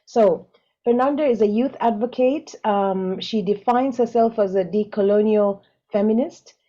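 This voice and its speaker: Swahili-speaking lady moderating a webinar panel, chatty with lots of ums, mellow voice, muffled call audio. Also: mid-range voice, measured pace. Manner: warm, chatty, laughs at herself, frequent ums. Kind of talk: hosting an online panel. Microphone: video call.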